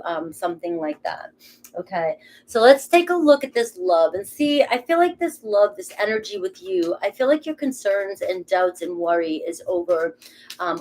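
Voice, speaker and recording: A woman's voice: fairly low pitch, darkish tone. A middle-aged woman with a Boston accent giving a casual, rambling tarot reading, swearing casually as she talks. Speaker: tarot reader.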